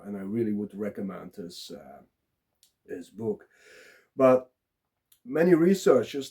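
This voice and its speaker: A man with a Dutch accent, talking live and unprepared as a blogger, pausing often with filler sounds. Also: on the low side, mellow. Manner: halting, many filler sounds. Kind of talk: unscripted solo talk.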